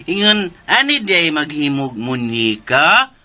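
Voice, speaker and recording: An actor playing a simple-minded, childlike younger brother in a radio drama, talking in a playful, taunting way. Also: male voice, a little high, pushed and bright, slight background noise, full character voice. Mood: childlike, amused. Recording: music bed behind the voice.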